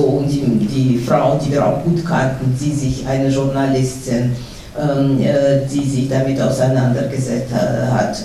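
A middle-aged woman from Russia speaking with a Russian accent, a guest author at a panel talk who pauses often with fillers and restarts. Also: low voice, mellow. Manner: halting, many fillers and restarts. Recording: echoey hall from far off, faint noise.

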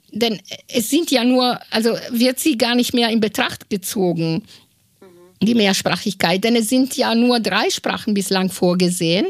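A woman journalist from Italy, whose first language is Italian, speaks earnestly and hesitantly. She pauses often, searches for words and restarts her sentences. The sound is close and dry, with no room echo. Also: mid-range voice. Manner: hesitant, many fillers and restarts; earnest, impassioned. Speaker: radio journalist, interview guest. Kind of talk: interview answer.

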